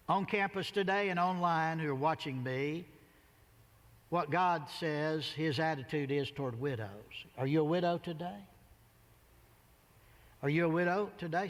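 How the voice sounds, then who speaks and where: High-voiced preacher man in a reverberant hall. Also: a bit bright. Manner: emphatic, theatrical, repeats phrases for effect. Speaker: pastor preaching a sermon. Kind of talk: dramatic storytelling sermon. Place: large church sanctuary before a congregation.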